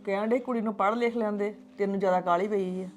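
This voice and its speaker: A woman's voice: mid-range voice, steady tone, fast, close to an everyday voice. A woman playing a mother who coaxes her daughter insistently to marry. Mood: coaxing. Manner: coaxing, insistent.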